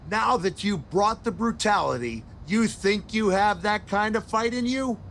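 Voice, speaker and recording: A man's voice: high voice, a little slow, fairly bright tone, slight background noise. A man playing an eccentric, folksy and menacing old-school hardcore wrestler in a video game cutscene. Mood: goading.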